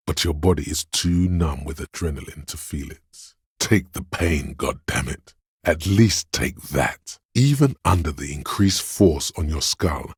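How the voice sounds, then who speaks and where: Goading inner voice of pain, video game narration, slow and deliberate, gravelly, extremely husky, grim, close dry sound. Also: male voice, a bass voice, no room sound, heavily acted. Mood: goading, stern. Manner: slow, deliberate, weighty, intense delivery.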